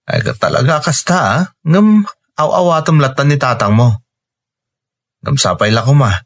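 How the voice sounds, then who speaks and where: A man's voice: medium pitch, lively intonation, moderate pace. A man playing a frank, concerned young companion in a radio drama, with a Filipino accent. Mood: concerned, probing.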